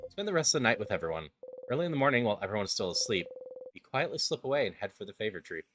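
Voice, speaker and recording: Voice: medium pitch, sing-song, very fast, fairly bright tone. Casual game streamer with music behind.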